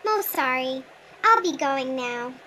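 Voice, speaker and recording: Woman voicing a tiny mouse, very high, squeaky, soft, meek and polite. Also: animated, takes it slowly, a little hiss or hum, exaggerated voice. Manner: meek, overly polite, gentle asking. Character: small polite gray male mouse.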